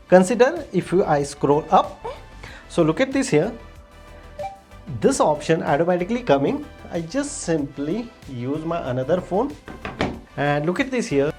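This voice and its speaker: A low-voiced woman with an Indian accent walks viewers through a screen demonstration. Her pitch moves in an animated way, and she stresses the start of each simple instruction. Faint music plays behind her. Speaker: tech tutorial host. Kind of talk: step-by-step screen demonstration narration.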